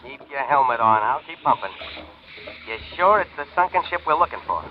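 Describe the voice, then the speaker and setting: A man's voice: medium pitch, lively intonation, brisk, lightly acted. A man playing a treacherous diving partner. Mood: eager. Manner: sly, mocking. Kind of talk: 1940s radio acting. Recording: tinny old radio with faint hiss.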